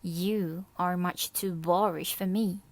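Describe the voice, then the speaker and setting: A woman voicing a proud, choosy young female cockroach in a storybook, with a dry, crisp way of turning people down. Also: fairly low pitch, fairly even intonation, slow, no room sound.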